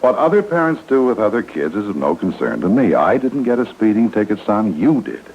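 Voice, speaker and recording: A man's voice: on the low side, very animated, a little fast. A man playing a stern, unbending middle-aged stepfather who speaks formally, in an old radio drama. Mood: firm. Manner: formal, clipped, authoritative. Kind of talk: 1960s radio drama acting. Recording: dull, noisy vintage radio.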